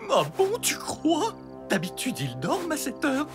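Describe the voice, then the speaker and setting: Anxious, high-voiced cartoon spouse. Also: female voice, huge pitch swings, measured pace, over music, heavily acted. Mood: worried. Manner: fretful, naive. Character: anxious, suspicious household spouse. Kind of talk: French cartoon dub acting.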